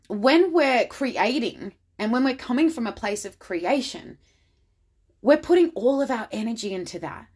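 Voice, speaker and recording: Upbeat woman podcast host. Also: on the high side. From Australia. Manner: enthusiastic, motivational, direct. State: slightly raspy voice from much talking. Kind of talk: solo podcast monologue.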